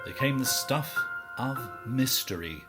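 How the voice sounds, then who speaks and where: A man playing a theatrical storyteller, delivering dramatic scripted narration of a dark tale in a musical. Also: mid-range voice, animated, measured pace, over music, lightly acted. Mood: ominous, knowing.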